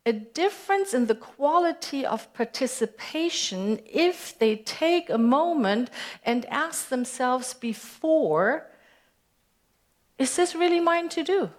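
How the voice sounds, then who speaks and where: A woman's voice: high-pitched, lively intonation, slow. A woman minister with a German accent, giving a conversational sermon and putting questions to her congregation. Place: church sanctuary.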